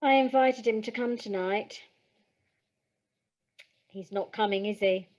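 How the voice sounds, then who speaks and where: A woman's voice: medium pitch, takes it slowly, darkish tone, lightly acted. Woman acting young performer, earnest, wounded, heartfelt, audio play, slightly muffled sound. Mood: subdued, resolute.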